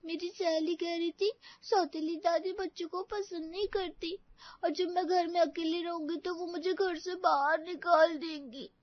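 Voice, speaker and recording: A woman's voice: very high-pitched, steady tone, measured pace, no echo, highs cut off, voice pushed up. A woman voicing a sweet, anxious little girl with a small, innocent, pleading voice in a story. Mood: tearful, pleading. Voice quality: small childlike voice.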